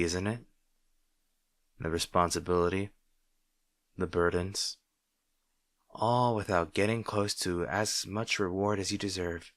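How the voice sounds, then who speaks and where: A man playing a snarky supervillain rival who secretly cares, in a dramatic roleplay monologue, teasing and sardonic, halting when flustered. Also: sits low, fairly bright tone, no room sound, studio quality. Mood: sly, coaxing. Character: snarky male supervillain rival, secretly worried about his hero.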